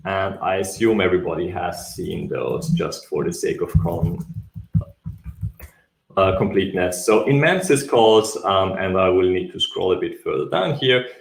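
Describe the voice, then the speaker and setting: A man's voice: deep voice, lively intonation, normal speed, gravelly. A young man from Austria with an Austrian accent, giving a live technical talk with frequent ums and self-corrections. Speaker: tech speaker. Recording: echoey room.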